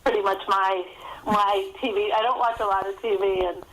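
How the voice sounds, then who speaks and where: A woman's voice: medium pitch, fairly even intonation, moderate pace, a bit bright, low-quality recording. A woman speaking as a guest author in a casual podcast interview, chatty and self-deprecating.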